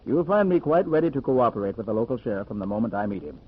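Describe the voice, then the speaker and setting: An actor playing a confident, unflappable master detective in a 1940s radio drama, crisp and patient in manner. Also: male voice, medium pitch, very animated, quick pace. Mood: calm, assured. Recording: muffled noisy old radio recording.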